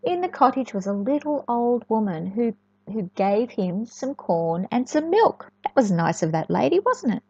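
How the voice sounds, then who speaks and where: A woman reading a storybook to young children in an expressive, sing-song way, often chatting and asking the listener questions. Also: fairly high pitch, moderate pace, slightly rough. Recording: echoey room, dull lo-fi sound.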